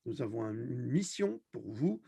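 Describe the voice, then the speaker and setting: A man's voice: medium pitch, sing-song, moderate pace, creaky, warm tone, slightly rough, no room sound, clean studio sound. A man hosting an online discussion, speaking in an impassioned, scornful rant and repeating phrases for emphasis. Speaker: host of an online video discussion.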